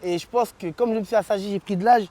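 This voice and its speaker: Fast-talking young man telling stories. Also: high voice. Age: 20s. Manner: animated storytelling, frequent "tu vois". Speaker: interview guest. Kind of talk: casual street slang chat.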